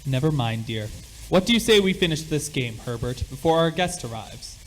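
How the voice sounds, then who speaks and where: A sociable, middle-aged father acted by a man in an amateur radio drama. His voice sits a bit high for a man, and he talks in affable, old-fashioned phrases over a faint hiss. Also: lively intonation, moderate pace, natural voice, low-quality recording. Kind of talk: amateur radio play acting.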